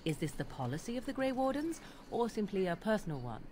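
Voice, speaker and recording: A woman's voice: mid-range voice, very animated, fast, darkish tone, lightly acted. An actress playing a haughty young witch who needles others in a formal, archly mocking way, in a video game. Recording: clear outdoor ambience noise behind.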